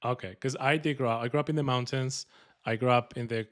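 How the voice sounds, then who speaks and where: A man's voice: mid-range voice, fairly even intonation, quick pace. A man from Colombia with a Colombian Spanish accent, hosting a podcast in an upbeat, joking, casual way with many fillers. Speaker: podcast host.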